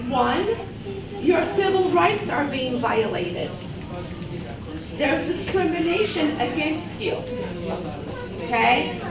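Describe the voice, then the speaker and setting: A woman from Portugal, a community engagement coordinator, giving a patient workshop talk and often checking in with "okay?". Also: very high voice. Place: large public hall with an audience. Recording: echoey hall from far off, crowd noise.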